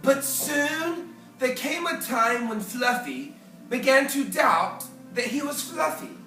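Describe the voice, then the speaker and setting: A storyteller reading a children's picture book aloud with animated, theatrical, deliberate phrasing. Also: high voice. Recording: echoey room, distant mic, faint music.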